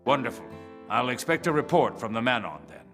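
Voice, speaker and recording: A man playing a middle-aged director general in a video game, speaking in a measured, formal, authoritative way. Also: mid-range voice, big pitch swings, creaky, fairly bright tone, faint music behind. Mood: formal, pleased. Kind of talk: video game cutscene acting.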